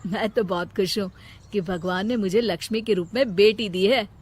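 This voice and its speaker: A woman's voice: mid-range voice, normal speed, husky, lightly acted. A woman voicing a frustrated, principled adult son in a cartoon moral story. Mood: reproachful. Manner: firm, reproachful.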